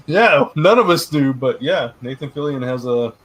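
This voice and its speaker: A middle-aged man co-hosting a casual live stream, rambling with lots of fillers and restarts, his voice turning hoarse. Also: on the high side, a little hiss or hum, low-quality recording. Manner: rambling, many fillers and restarts, laughs often. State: getting hoarse. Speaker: live stream co-host, publisher.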